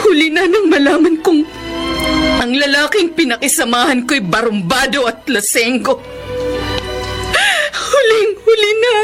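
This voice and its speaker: A woman playing a bitter, vengeful jilted lover in an emotional radio melodrama, with halting, repeated phrases. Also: high voice, sing-song. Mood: tearful anguish. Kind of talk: Filipino radio melodrama acting. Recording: music behind the dialogue.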